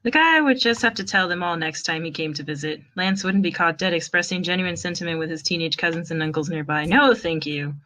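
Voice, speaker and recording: A woman's voice: lowish voice, a little fast, hardly any pauses, sharp tone, a little hiss or hum. A woman reading fan fiction aloud as an amateur narrator, in a wry, informal storytelling manner.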